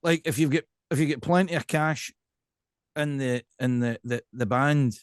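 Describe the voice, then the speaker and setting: A man's voice: medium pitch, normal speed, clear, resonant tone, dry recording, studio quality. A man with a Scottish accent hosting a casual interview, talking in an emphatic, rambling way and often checking in with the listener. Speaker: podcast host.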